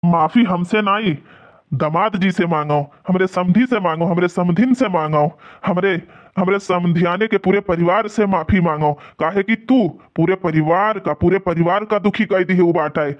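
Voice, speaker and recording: A man's voice: high voice, moderate pace, lightly acted. A man voicing an angry, blustering old village husband in a cartoon comedy, with an Awadhi accent, in dull lo-fi sound. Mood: stern. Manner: blustering, repeats accusations.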